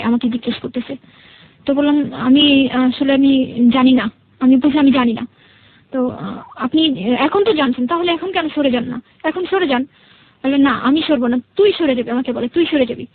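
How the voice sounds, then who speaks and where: A woman's voice: high voice, moderate pace, long pauses, phone-quality sound. A young woman with a Bangladeshi accent, a guest telling her personal story conversationally and quoting reported dialogue.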